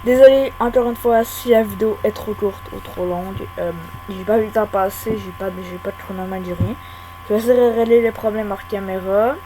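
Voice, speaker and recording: A young woman giving casual, hesitant gameplay commentary at her home computer, full of pauses and filler sounds. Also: medium pitch, steady tone. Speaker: gaming YouTuber. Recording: hum and whirring computer fan noise.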